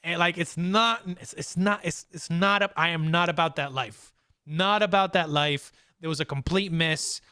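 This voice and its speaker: A man hosting a casual podcast, talking excitably and repeating phrases for emphasis. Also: fairly high pitch, normal speed, smooth, no echo, studio quality. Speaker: podcast host.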